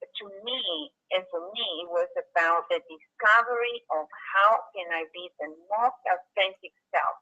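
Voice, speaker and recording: Bright-voiced woman from Peru, earnest. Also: on the low side, unhurried, muffled recording. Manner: earnest, reflective, many "you know, like" fillers. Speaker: guest speaker. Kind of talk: online video call chat. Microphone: computer mic on a video call.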